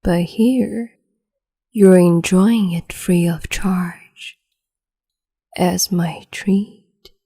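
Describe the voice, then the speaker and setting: A woman voicing a cruel, capricious empress in an ASMR roleplay, whispering softly and condescendingly with sweet menace, close to the mic. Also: lowish voice, very slow, long pauses, full character voice. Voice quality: breathy whisper.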